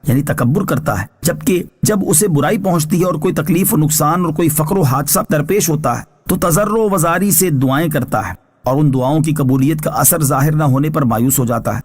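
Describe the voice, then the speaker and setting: A male religious scholar with a somewhat high voice for a man. He reads scripture commentary from a prepared text. His delivery is formal, measured and instructive. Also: husky. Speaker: Islamic scholar giving Quran translation and commentary.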